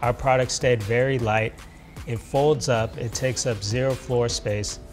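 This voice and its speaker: A man's voice: medium pitch, steady tone, unhurried. A man in his thirties, a company co-founder, explaining a product earnestly and conversationally in a promotional interview. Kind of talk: casual promotional interview talk. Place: large open office, indoors. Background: background music.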